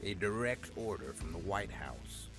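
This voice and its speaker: Pompous, swooping-voiced military general. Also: male voice, low-pitched, expressive, unhurried. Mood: guarded, grudging. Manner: pompous, blustering. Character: pompous middle-aged male general, irritable and evasive. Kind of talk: radio drama acting. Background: faint music.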